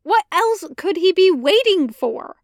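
A woman's voice: very high-pitched, very animated, unhurried, no room sound, studio quality, voice pushed up, exaggerated voice. A woman voicing an exasperated, impulsive young man in an audio story, whiny and emphatic. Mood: exasperated, insistent.

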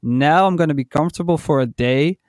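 A man, a DJ, chatting in a relaxed, rambling way on a podcast. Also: fairly high pitch, fairly quick, dry recording, studio quality. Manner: relaxed, rambling, with fillers and laughs. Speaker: DJ and podcast co-host. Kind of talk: casual podcast chat.

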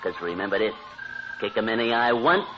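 A man's voice: lowish voice, sing-song, brisk, over music. An American actor playing a smug, slangy, needling tough guy in an old-time radio comedy. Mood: smug, taunting. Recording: muffled, noisy old radio transcription.

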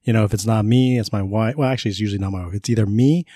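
A man's voice: fairly low pitch, rapid-fire, mellow, no room sound, clean studio sound. A man in his thirties co-hosting a podcast in casual chat, talkative and reassuring, often laughing. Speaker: podcast co-host.

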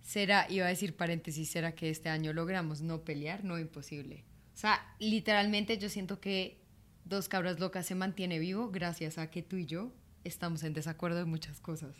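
A woman, co-hosting a casual podcast and telling a funny story in a chatty, animated way. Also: lowish voice, normal speed. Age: 20s. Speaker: podcast co-host.

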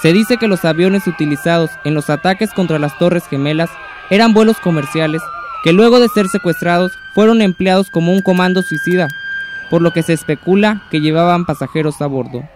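With faint crowd and street noise behind him, an actor plays an earnest male news reporter. His fairly high voice delivers a formal radio drama bulletin. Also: wildly swooping pitch, fairly quick. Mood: serious, urgent.

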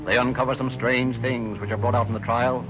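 A man's voice: mid-range voice, level tone, brisk. A man announcing and narrating in a formal, declarative 1930s radio style. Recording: dull, noisy old radio recording.

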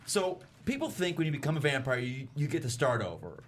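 A man playing a self-deprecating, average thirty-five-year-old vampire vlogger in a comedy read, halting, awkward and whiny. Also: on the high side, sing-song, slight background noise. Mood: glum, resigned.

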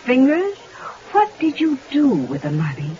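An actress playing a scheming fortune teller who talks in theatrical, mystical phrases. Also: female voice, sits high, wildly swooping pitch, a little slow, warm tone, heavily acted. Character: scheming woman con artist fortune teller. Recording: dull old radio broadcast.

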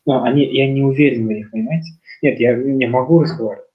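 A man's voice: medium pitch, steady tone, fast talker. A young man from Kazakhstan leading a casual discussion, talking in a rambling way with lots of fillers and restarts. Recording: slightly dull lo-fi sound.

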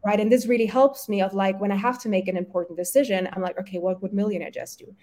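A woman's voice: mid-range voice, fairly even intonation, fast, warm tone. A woman whose first languages are German and Polish, speaking as a guest health coach in a casual podcast conversation, earnest and motivational, often correcting herself mid-phrase.

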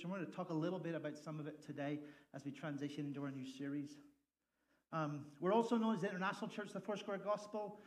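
Male pastor, casual and joking from the pulpit, slightly raised voice. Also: a little high. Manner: joking, chatty, loud laughing asides. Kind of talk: sermon opening with jokes. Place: church sanctuary.

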